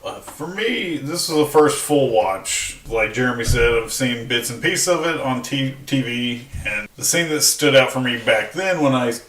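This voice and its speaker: A man on a podcast panel casually discussing film, halting as he searches for his words. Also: medium pitch, expressive, fairly bright tone. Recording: distant mic, roomy echo.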